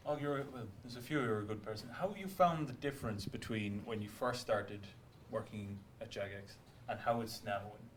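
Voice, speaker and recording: Low-pitched man steering casual chat. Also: normal speed, few pauses, a little hiss or hum. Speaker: panel host, game developer. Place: live stream room in an office.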